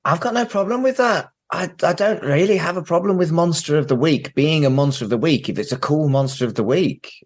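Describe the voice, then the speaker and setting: A man's voice: fairly high pitch, brisk, few pauses. A man on a podcast panel, chatting casually and giving his opinions. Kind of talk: casual chat.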